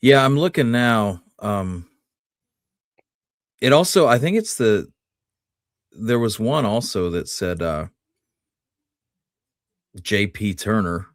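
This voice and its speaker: A man's voice: fairly low pitch, lively intonation, takes it slowly, pauses often, dry recording, studio quality. A man co-hosting a history podcast in a casual, conversational style. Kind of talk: casual discussion, reading from articles.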